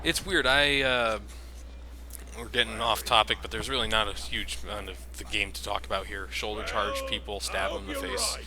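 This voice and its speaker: A man's voice: lowish voice, normal speed. A man hosting a let's play, talking casually and rambling with lots of fillers as he plays. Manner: relaxed, rambling, with frequent fillers. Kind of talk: casual gameplay commentary.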